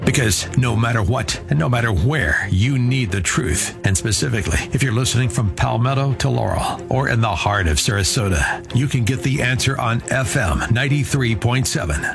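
A male station announcer reads promo copy. His delivery is punchy and lively, with a gravelly texture. Music plays clearly under his voice. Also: medium pitch, normal speed.

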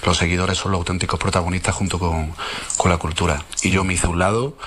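A man with an Andalusian accent, a guest in a radio interview, speaking calmly and reflectively. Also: low voice, quick pace, slight background noise.

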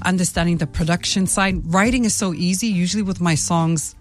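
A woman's voice: lowish voice, fairly quick. A woman with an American accent, who grew up speaking Tongan, chats easily as a guest in a radio studio interview. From Salt Lake City, Utah, USA. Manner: relaxed, chatty, laughs between answers. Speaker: guest singer.

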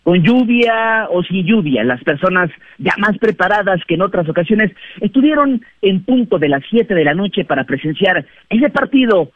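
Mexican reporter on a tinny phone line. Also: male voice, high voice, animated, normal speed, few pauses, no echo. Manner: narrates steadily in a scripted way. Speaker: field reporter. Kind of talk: news report.

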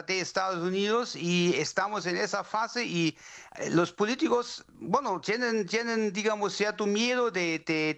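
A man's voice: sits high, a bit bright. A man from Germany with a German accent speaking as a non-native, an academic giving explanatory interview answers and often repeating words as he searches for phrasing. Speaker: legal academic, interview guest.

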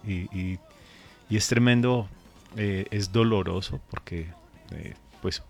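Deep-voiced pastor telling a story. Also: male voice, creaky, darkish tone, soft background music. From Colombia. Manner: earnest, measured storytelling with pauses and fillers. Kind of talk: radio interview, storytelling.

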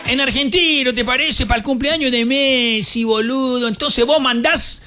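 A man's voice: very high voice, normal speed, pushed and bright. A man hosting a morning radio show, joking around and dropping mock Argentine slang. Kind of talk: jokey radio banter. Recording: radio studio with music bed.

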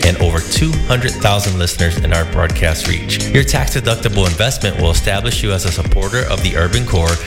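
A man announcing a scripted sponsorship appeal for a radio station in an even, promotional delivery. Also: low-pitched, a little fast, hardly any pauses, echoey room. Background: loud instrumental music.

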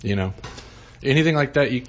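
A man hosting a radio interview, talking in a relaxed, chatty way. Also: mid-range voice, a little fast, lo-fi sound, slight background noise. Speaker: radio host. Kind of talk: casual interview chat.

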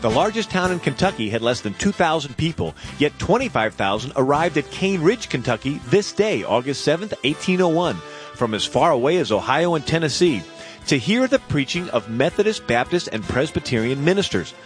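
Lively-voiced history narrator, dramatic. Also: male voice, fairly high pitch, fairly quick, faint music behind. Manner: dramatic storytelling. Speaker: narrator of a short history radio segment. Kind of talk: scripted radio narration.

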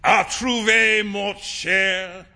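A man voicing a loud, witty male portrait painter in a storybook reading, with an emphatic, theatrical manner. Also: sits high, fairly even intonation, extremely slow, creaky, big-room sound, pitched above the performer's own voice, heavily acted. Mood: boisterous.

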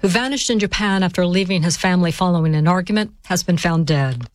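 A woman's voice: fairly low pitch, fast talker, a little hiss or hum. A woman news anchor reading a scripted radio bulletin in a studio. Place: dry broadcast studio.

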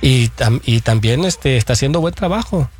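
A man's voice: medium pitch, lively intonation, normal speed, darkish tone, slightly rough, soft background music. A man co-hosting a sports talk show, reading viewer messages aloud and agreeing often with his partner. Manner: agreeable, frequent back-channel "ujú".

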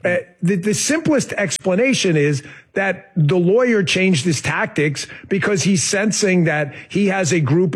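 A man from New York hosting a political talk radio show, rambling with hesitant restarts before lively stories. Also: sits high, slightly rough.

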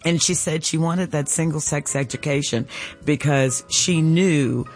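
A woman's voice: a little slow, soft background music. A woman, telling stories and urging listeners to give during a radio fundraiser. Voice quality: low contralto voice. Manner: warm storytelling, laughs often, urges listeners to give. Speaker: guest co-host of a radio fundraiser. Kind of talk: casual radio chat.

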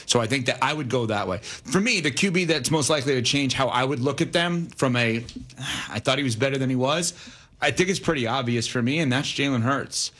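A man co-hosting a sports talk show, debating football in a casual, animated way. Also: mid-range voice. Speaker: sports radio co-host.

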